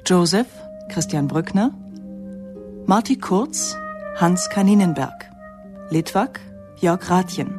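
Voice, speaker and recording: A woman reading radio drama credits as a formal, deliberate announcer. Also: on the low side, darkish tone. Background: music.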